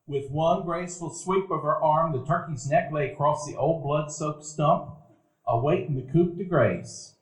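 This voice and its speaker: A man narrating a stage radio play as a grown man recalling his boyhood, in a measured, reflective telling. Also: a little high, natural voice. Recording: roomy event hall.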